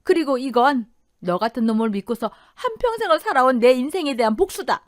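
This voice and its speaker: A woman's voice: fairly high pitch, sing-song, measured pace, dry recording, studio quality. Woman acting a fierce, indignant betrayed older wife. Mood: vengeful rage. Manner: indignant, biting, emphatic.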